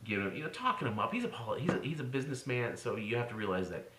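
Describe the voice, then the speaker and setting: A man's voice: medium pitch, very animated, rapid-fire, a bit bright. An American man giving an informal political commentary, opinionated and sarcastic in his delivery. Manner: opinionated, sarcastic, swings into mocking exclamations. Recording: roomy echo with faint noise.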